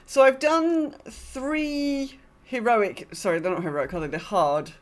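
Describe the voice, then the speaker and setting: A woman's voice: fairly high pitch, unhurried. A woman co-hosting a podcast, casually recapping her gaming in an understated, conversational way. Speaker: podcast co-host. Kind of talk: casual chat about an online game.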